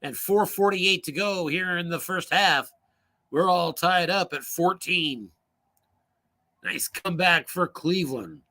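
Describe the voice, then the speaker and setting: A man calling solo play-by-play for a tabletop football game, brisk and rising on big plays, losing his voice and coughing now and then. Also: high voice, pushed and bright.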